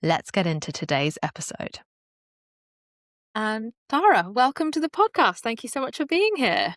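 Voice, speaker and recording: A woman's voice: fairly high pitch, sing-song, moderate pace, no echo. Polished woman podcast host, dry close sound. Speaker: podcast host, leadership coach. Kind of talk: scripted podcast intro, then interviewing.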